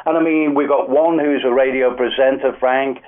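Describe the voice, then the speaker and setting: A man's voice: medium pitch, fairly even intonation, normal speed. Older man with a Liverpool accent, a radio guest telling rambling anecdotes, thin phone-like sound. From Liverpool, England. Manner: rambling, anecdotal, many fillers. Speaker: music industry guest. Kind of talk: casual radio interview storytelling. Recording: tinny phone-like radio line.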